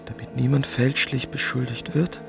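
An actor playing a distraught, devoted husband who pleads and panics, in an emotional radio drama. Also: male voice, medium pitch, little pitch movement, normal speed, gravelly, music in the background. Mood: panicked. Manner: emotional, imploring, exclamatory. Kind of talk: German radio play acting.

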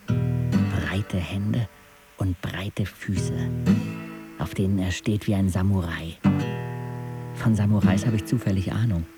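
A man's voice: sits low, slow pace, long pauses, over loud music. An actor playing a sardonic paralysed teenage boy, narrating his life intimately in a dry, laconic way in a radio drama. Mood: observant, quiet. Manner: dry, laconic, clipped phrases.

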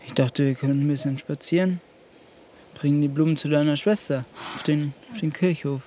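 A man's voice: a little high, fairly even intonation, brisk, warm tone, close to an everyday voice. Actor as awkward, earnest young man, faint background noise. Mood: shy, hopeful. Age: young adult. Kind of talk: radio drama dialogue.

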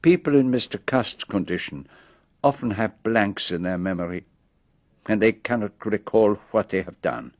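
An actor playing a brilliant, self-assured foreign detective with a French accent, explaining precisely and theatrically in a radio drama. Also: male voice, lowish voice, normal speed, no room sound, close to an everyday voice. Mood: firm, certain. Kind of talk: BBC radio drama acting.

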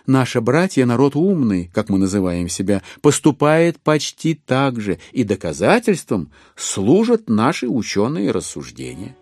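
An actor as the wry narrator of a classic novel in an audio drama, speaking with ironic relish and deliberate, expressive phrasing. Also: male voice, mid-range voice, no room sound.

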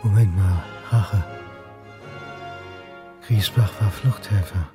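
An actor playing a brooding, dogged history lecturer turned amateur sleuth in a radio drama, terse, pensive and probing. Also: male voice, lowish voice, slow, over music.

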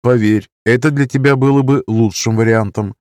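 A man's voice: mid-range voice, steady tone, normal speed, a little mellow, no echo, lightly acted. A man voicing a wry, guarded woman in an audiobook, who speaks in terse, dry, probing lines. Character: wry, guarded woman narrator confronting her friend. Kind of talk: audiobook character dialogue.

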